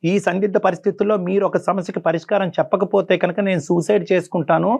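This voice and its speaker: Fast-talking, gravelly-voiced male counselor. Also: high-pitched, fairly even intonation, darkish tone. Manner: earnest, persuasive, pleading repeatedly. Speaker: doctor counseling a caller. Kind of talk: on-air counseling talk. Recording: dull lo-fi sound.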